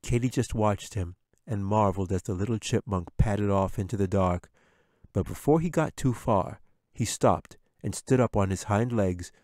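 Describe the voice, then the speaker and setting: A man's voice: on the low side, warm tone, no echo, studio quality. A man narrating a scripted fantasy audiobook as its author and storyteller.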